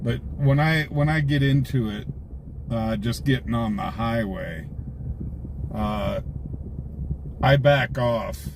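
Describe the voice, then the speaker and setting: A man's voice: medium pitch, slow pace, gravelly, pauses often. An American man chatting casually with livestream viewers from a car, telling rambling anecdotes. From United States. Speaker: livestream host, scanner-chasing reporter. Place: inside a car, live on patrol. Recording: raw livestream, loud vehicle noise.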